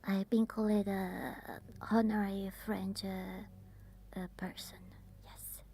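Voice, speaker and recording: A woman with an Italian accent, softly whispering while chatting with viewers on an ASMR live stream. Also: mid-range voice, little pitch movement, slow, slight background noise. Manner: soft whispering.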